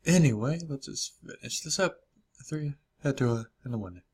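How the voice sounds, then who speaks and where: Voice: mid-range voice, animated, normal speed, a little hiss or hum. A reaction video host giving casual, rambling commentary with frequent interjections.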